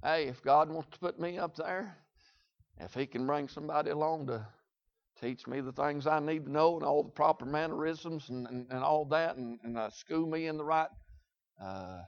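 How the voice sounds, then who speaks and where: Folksy Southern preacher man, high voice. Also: dry recording, muffled recording. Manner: folksy, repetitive, swings from loud shouts to quiet asides. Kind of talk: sermon.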